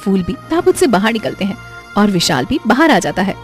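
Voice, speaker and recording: A woman's voice: medium pitch, big pitch swings, fairly quick, faint music behind. A woman narrating a scripted cartoon moral story.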